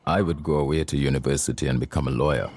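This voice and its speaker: An actor narrating as an elderly man from Trinidad, recalling his colonial childhood in a measured, reflective voice-over. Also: rumbling low, fairly even intonation, slight background noise. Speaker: narrator, older Ulric Cross. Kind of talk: scripted film voice-over narration. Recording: voice-over with loud film score.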